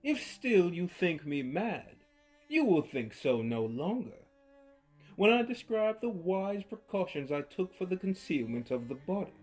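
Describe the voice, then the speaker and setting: Slow, theatrical male storyteller. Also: high-pitched, very animated, poor audio. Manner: theatrical, swings from hushed to shouting. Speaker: narrator. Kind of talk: dramatic storytelling reading. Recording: faint music behind.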